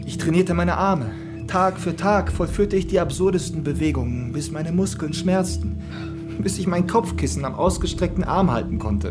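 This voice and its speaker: An actor playing a strong, rebellious son in a German radio play, telling of his forbidden escape with deliberate, dramatic emphasis. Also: sits high. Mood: determined. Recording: music under the voice.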